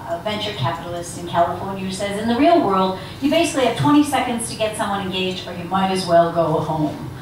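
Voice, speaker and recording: A woman's voice: mid-range voice, a little hiss or hum. A woman speaker leading an interactive workshop, asking the audience questions as she talks. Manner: engaging, asks the audience questions. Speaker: guest speaker on elevator pitches. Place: large echoey lecture room. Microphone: handheld mic from a distance. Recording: echoey room recorded from far off.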